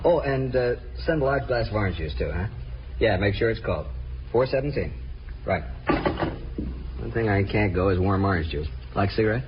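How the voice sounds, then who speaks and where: An actor playing a cagey, irritable out-of-work performer under police questioning, brusque and guarded, in 1950s radio drama style. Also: male voice, fairly low pitch, lively intonation, normal speed, pauses often, natural voice. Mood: breezy, casual. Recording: muffled, hissy old radio recording.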